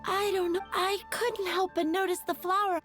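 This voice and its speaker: A woman's voice: very high voice, little pitch movement, normal speed, fairly bright tone. A woman playing a sweet, flustered young flower seller in a video game, hesitant and eager to please. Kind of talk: animated video game voice acting. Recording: music behind the voice.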